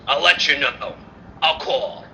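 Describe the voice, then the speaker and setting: A man's voice: fairly high pitch, slow pace, sharp tone, full character voice. An actor playing an obliging male circus manager in an old-time radio play. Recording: noisy live call audio.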